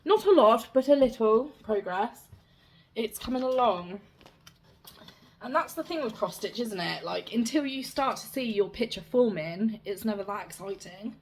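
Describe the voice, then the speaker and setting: A young woman talks in a relaxed, rambling vlog manner. Her voice carries a slight rasp. She sounds self-deprecating and easygoing. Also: fairly high pitch, moderate pace, poor audio. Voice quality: slightly raspy. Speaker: cross-stitch vlogger. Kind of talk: casual show-and-tell chat.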